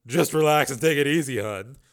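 A man voicing a sly, teasing redheaded showgirl, pitched up and bright. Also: brisk, lightly acted. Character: sultry wisecracking redheaded showgirl, woman.

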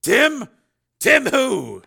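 A man's voice: high voice, very animated, normal speed, vocal fry, bright, forceful tone, slightly rough, pitched above the performer's own voice, full character voice. A man voicing a tough, worried police lieutenant and father with an intense, demanding manner in an audiobook drama. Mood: urgent.

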